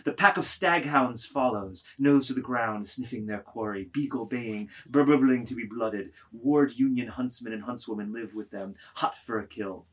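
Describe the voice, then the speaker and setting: A man serves as narrator for a dramatised novel reading. His pitch is average for a man. He delivers scripted stage directions in a literary storytelling style. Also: moderate pace, few pauses, a bit bright.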